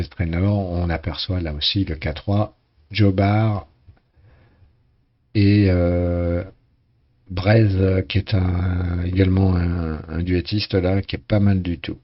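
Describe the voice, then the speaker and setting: A man with a very deep, hoarse voice gives a loose, conversational commentary on a sailing race game. He hesitates often, repeating words and filling gaps with uh as he goes. The recording sounds somewhat dull and lo-fi. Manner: hesitant, many fillers and restarts. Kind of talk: casual live game commentary.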